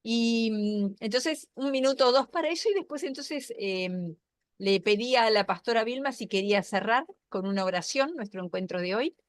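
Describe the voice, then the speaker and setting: Argentine woman pastor explaining calmly. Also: on the high side, normal speed, no room sound, studio quality. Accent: Argentine Spanish. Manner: thoughtful, explanatory, with many fillers and restarts. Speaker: pastor and class host. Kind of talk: online Bible class, explaining and moderating. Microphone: video call.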